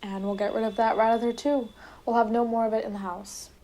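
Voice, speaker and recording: A teenage girl playing a gentle, loyal and concerned wife in a radio drama. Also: on the high side, little pitch movement, fairly quick, background noise, natural voice. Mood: resigned. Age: teens, high school student.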